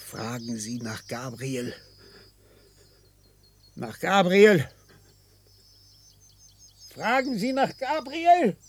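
A man's voice: sits high, expressive, extremely slow, long pauses, breathy edge, heavily acted. An actor playing a broken, frightened older man in a German radio play, pleading and faltering as he repeats himself. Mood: weary, evasive. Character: broken, frightened old official fleeing a prison camp.

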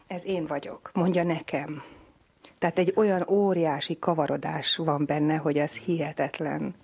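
A woman's voice: low voice, steady tone, creaky, darkish tone, slightly rough. A middle-aged woman, giving a personal account in an interview as a family carer.

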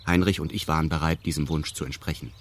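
An actor playing a detached male narrator in a radio drama, speaking in a formal, meticulous, deadpan manner. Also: very deep voice, quick pace. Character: detached male narrator recounting a weekend with pedantic precision. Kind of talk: scripted first-person radio drama narration.

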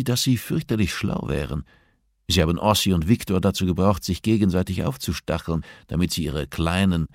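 Guy narrating as a smug cutting male convict in an audiobook, condescending, low voice, dry recording. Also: a little mellow, dry recording. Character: arrogant, cutting male prison inmate. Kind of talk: audiobook character dialogue.

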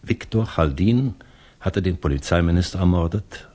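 A reserved, pedantic English gentleman in a 1960s radio play. His voice is deep and husky. He speaks formally and softly, with some hesitation. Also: animated, normal speed. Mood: sombre. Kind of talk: 1960s German radio drama acting.

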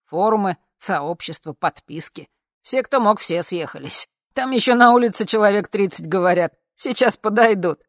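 A woman's voice: mid-range voice, animated, normal speed, dry recording, clean studio sound, voice pushed up, natural voice. A woman voicing a brash, earnest male bar musician who talks in slangy, insistent bravado. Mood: proud, breezy.

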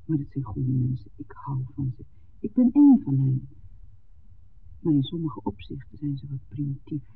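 An actress playing an anxious, pleading young village daughter in love, in a 1960s Dutch radio drama. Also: female voice, extremely low pitch, big pitch swings, normal speed, gravelly, full character voice. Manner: emotional, imploring. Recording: narrow-band 1969 radio recording, faint hiss.

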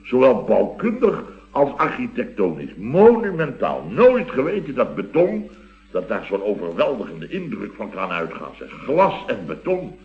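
An actor playing a blustering, sentimental middle-aged building contractor in a radio comedy, rambling and exclamatory. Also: male voice, mid-range voice, huge pitch swings, moderate pace, darkish tone, poor audio. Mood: awed, effusive. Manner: rambling, exclamatory, with repeated tag words. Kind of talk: Dutch radio comedy acting.

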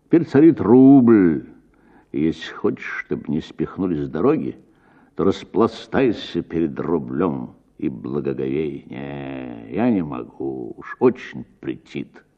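A man's voice: very deep voice, big pitch swings, measured pace, highs cut off, voice pushed down, heavily acted. A man playing an old idealist landowner in an audio story, sighing, lamenting and grandiloquent. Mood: self-pitying lament. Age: older. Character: old idealist landowner, self-pitying, grandiloquent, drinking cognac.

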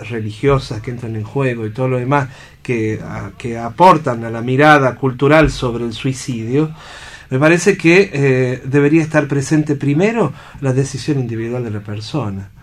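Man, Argentine accent, medium pitch, thoughtful, halting, reflective radio panel discussion. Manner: thoughtful, halting, seeks agreement with tag questions.

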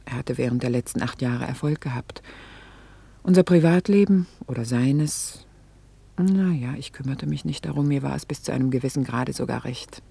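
An actress playing a reflective, vain middle-aged wife in a radio play, hesitant and confiding, with dramatic pauses and breathy near-whispers. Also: female voice, rumbling low, mellow, dry recording, heavily acted. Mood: wistful, reflective. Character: reflective, vain, self-reproaching middle-aged wife confessing her memories. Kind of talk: intimate German radio play monologue.

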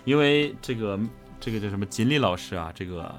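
Animated low-pitched man, music behind. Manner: animated, teasing, quick to laugh. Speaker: voice actor co-hosting a fan livestream. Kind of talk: playful casual chat. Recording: background music.

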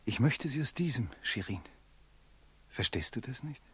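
Actor as animated, secretive runaway lover in radio drama. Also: male voice, medium pitch, moderate pace, slight background noise, close to an everyday voice. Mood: evasive. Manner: dramatic, declamatory stage diction. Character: Mohalab, runaway slave, secretive, guilt-ridden deceiving lover. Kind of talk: classic German radio play acting.

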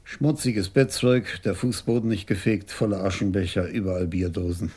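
Husky, mellow older police commissioner. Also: male voice, low voice, fairly even intonation. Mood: observant. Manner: measured, polite, methodical. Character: courteous, observant senior police commissioner, an older man. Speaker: actor. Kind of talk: German radio crime drama acting.

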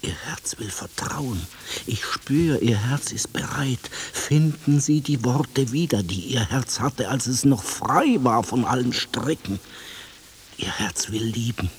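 An actor playing a gentle but insistent confidant in a radio play, speaking in a hushed, intimate, persuasive way over hiss. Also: male voice, mid-range voice, slow pace, lightly acted. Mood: tender urging. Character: gentle, insistent male confidant coaxing a troubled soul. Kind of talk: German radio play acting.

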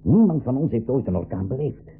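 An actor playing a worried, adventurous uncle who captains a flying craft, speaking emphatically in a school radio drama. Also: male voice, mid-range voice, big pitch swings, fairly quick, breathy edge. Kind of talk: Dutch-language school radio drama acting. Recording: tinny, noisy 1980s radio play recording.

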